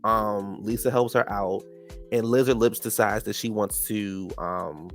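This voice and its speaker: A man with a low, gravelly voice talks through a reality TV recap in a sassy, gossipy way. His nose is stuffed up. Faint music plays behind him. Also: fairly even intonation, unhurried. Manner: sassy and chatty, calls people "child" and "honey". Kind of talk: casual recap commentary.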